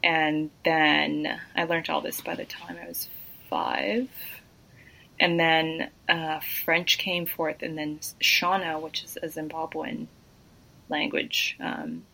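A woman's voice: low voice, flat delivery, slow, creaky, bright, forceful tone, poor audio. A young woman from Kenya whose first language is Luo, chatting playfully as a podcast guest with teasing asides. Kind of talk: casual chat.

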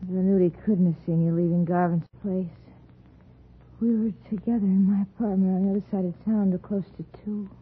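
An American actress playing a dazed, evasive girlfriend in a 1950s radio thriller, husky and drifting. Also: mid-range voice, steady tone. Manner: slow, drifting, halting delivery. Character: dazed, sly young woman, dead man's girlfriend, dreamy and evasive. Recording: tinny old radio broadcast.

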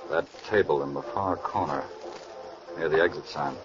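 An actor playing a resolute, methodical FBI agent in an old radio crime drama. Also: male voice, extremely low pitch, normal speed, over music. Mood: alert. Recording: muffled, noisy old radio recording.

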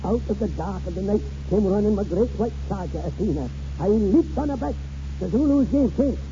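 A man's voice: high voice, lively intonation, fairly quick, dark tone, faint music behind. An actor in a 1940s radio drama playing a boastful, flowery old great-grandfather who spins tall tales in theatrical style. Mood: boastful, dramatic. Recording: dull, noisy 1940s radio recording.

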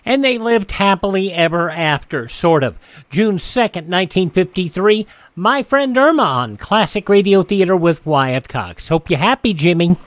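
A man hosting a classic radio program, speaking in a relaxed, conversational way. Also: high-pitched, normal speed, a little hiss or hum. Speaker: radio host. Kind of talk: casual show introduction.

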